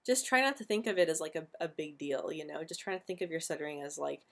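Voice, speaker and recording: Young woman who stutters a lot, pretty low voice, chatting on a live stream. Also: lively intonation, quick pace, fairly bright tone. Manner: stutters often, repeating sounds and words. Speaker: livestream host answering viewer questions. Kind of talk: casual live stream chat.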